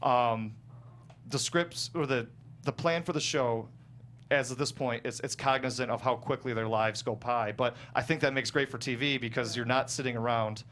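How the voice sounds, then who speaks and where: A man talking casually as a guest screenwriter, explaining his work in a halting, self-correcting way. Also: mid-range voice, steady tone, fairly bright tone. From small town in the United States. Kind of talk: casual panel discussion of own script. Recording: clear background noise and hum.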